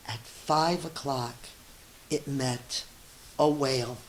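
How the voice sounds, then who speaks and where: A woman teacher reads a story to children at a slow, deliberate pace. Her delivery is expressive and encouraging, moving between narration and simple teaching asides. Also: very deep voice, a little hiss or hum. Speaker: teacher reading aloud to children.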